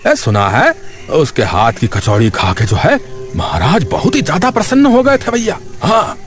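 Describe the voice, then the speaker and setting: A man voicing a genial, chatty elderly villager in an animated story. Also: a little high, normal speed. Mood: gossipy, teasing. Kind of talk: Hindi animated moral story voice acting. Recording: faint music behind the voice.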